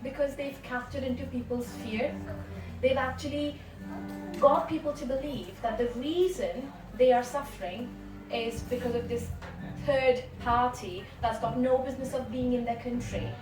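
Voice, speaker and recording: A woman from India speaks animatedly on a discussion panel, laughing between her points. Her voice sits a little high, heard from a distance in an echoey space with music clearly playing behind. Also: normal speed.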